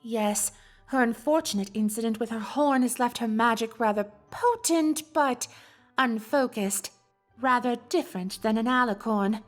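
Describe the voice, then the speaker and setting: A woman's voice: fairly high pitch, soft background music, natural voice. A woman voicing an elegant, teasing cartoon unicorn socialite in a fan fiction audio drama, with an affected, genteel, gossipy delivery. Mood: knowing, teasing. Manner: affected, genteel, theatrical delivery with drawn-out words.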